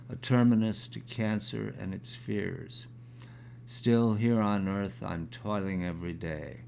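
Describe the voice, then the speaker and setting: Gravelly, slow older man in his sixties. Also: on the low side, a little mellow, a little hiss or hum. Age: 60s. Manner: halting with fillers when talking, measured when reciting. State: crackly, gravelly voice. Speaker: poet. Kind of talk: reading his own poem aloud.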